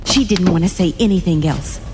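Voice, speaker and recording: A woman's voice: on the low side, a little fast. Woman narrator, reverberant, over music. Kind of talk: dramatic scripted narration.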